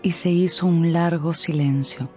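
A woman's voice: lowish voice, a little slow. Woman narrating a radio novel over music. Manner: expressive, storytelling.

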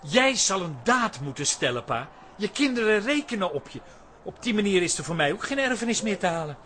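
An actor playing a brash, self-interested grown son in a radio comedy drama, blunt and needling. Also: male voice, high-pitched, big pitch swings, fairly quick, sharp tone, slight background noise, heavily acted. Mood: cold, insistent.

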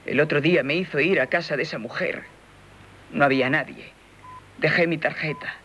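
A woman's voice: low voice, a bit bright, a little hiss or hum. Anxious, strict aristocratic mother. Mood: anxious, insistent. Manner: formal, theatrical, lamenting. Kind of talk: 1970s Spanish radio drama acting.

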